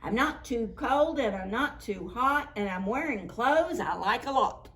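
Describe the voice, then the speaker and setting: A woman's voice: on the high side, animated, a little slow, fairly bright tone. A woman voicing a good-natured, shivering, sneezy snowman from a picture book, exclaiming and drawing out words. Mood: pleased.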